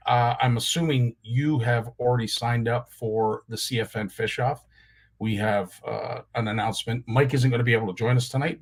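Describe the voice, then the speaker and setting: Male host, raspy strained voice, middle pitch, friendly roll-call of viewers. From Canada. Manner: greets viewers one by one. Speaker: live show host. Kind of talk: casual livestream chat.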